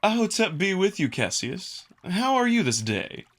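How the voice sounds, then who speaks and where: A man voicing a courteous, smiling jackal diplomat in a visual novel, speaking in a polite, formal, measured way. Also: high voice, sing-song, voice pushed up.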